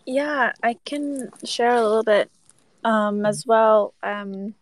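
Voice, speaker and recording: A woman's voice: on the high side, takes it slowly, no room sound. A young woman from the United States sharing her experience on a video-call panel, casual with many "like" and "um" fillers. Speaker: panelist, activist. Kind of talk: panel discussion.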